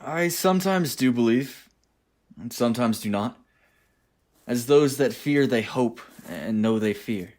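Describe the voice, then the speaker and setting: A man acting an earnest, lovesick young nobleman in a Shakespearean radio play, speaking verse deliberately. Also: medium pitch, expressive, a little mellow, natural voice. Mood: wistful.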